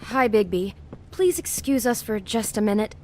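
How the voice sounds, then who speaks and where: An actress playing a worried, exasperated wife in a game drama, earnest and placating. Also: female voice, medium pitch, animated, normal speed, slight background noise, lightly acted. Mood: pleading, anxious. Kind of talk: video game drama acting.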